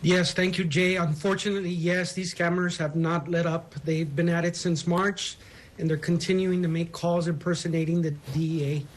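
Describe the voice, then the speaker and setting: A man's voice: fairly high pitch, flat delivery, moderate pace. A man speaking as a public information officer, explaining things as a guest in a news interview. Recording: phone interview.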